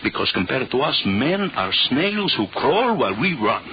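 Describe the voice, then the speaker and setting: A man's voice: medium pitch, big pitch swings, moderate pace, natural voice. Man as power-mad scientist, swooping theatrical delivery, muffled noisy old radio drama. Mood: grandiose. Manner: theatrical, grandiose. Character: exultant scheming foreign scientist drunk on power. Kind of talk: 1940s radio acting.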